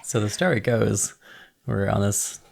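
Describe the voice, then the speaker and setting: A man's voice: fairly low pitch, measured pace, vocal fry. A man in his thirties from Maine, a band member chatting easily in an interview, with plenty of fillers and laughter. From United States, Maine. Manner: easygoing, laughs often, many fillers. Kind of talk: casual interview chat.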